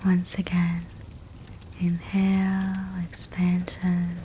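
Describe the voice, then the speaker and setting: A woman speaks in a soft, breathy whisper, low and very slow, as she guides a sleep meditation. Her delivery is gentle and soothing, with long pauses between short phrases. A steady fireplace crackle runs behind her voice. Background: fireplace sounds.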